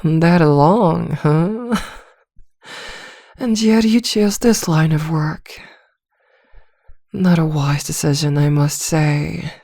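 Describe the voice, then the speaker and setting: Slow, soft, seductive vampire villainess played by a woman. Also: sits low, creaky, no echo, clean studio sound, full character voice. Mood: smug teasing. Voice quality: soft, often dropping into whispers. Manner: teasing, commanding, hypnotic, drawn-out phrasing. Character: seductive, arrogant vampire villainess posing as therapist. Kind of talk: intimate ASMR roleplay.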